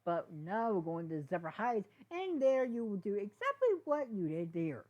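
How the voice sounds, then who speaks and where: A woman's voice: medium pitch, huge pitch swings, normal speed, a little mellow, pitched above the performer's own voice. A woman voicing an earnest, reassuring young female pony friend in a storybook reading, firm and encouraging. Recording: dull, lo-fi sound.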